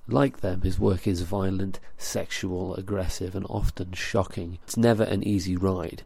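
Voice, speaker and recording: A man delivering a scripted theatre review for a podcast in a measured, articulate, earnest way. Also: deep voice, steady tone, gravelly, dark tone, husky.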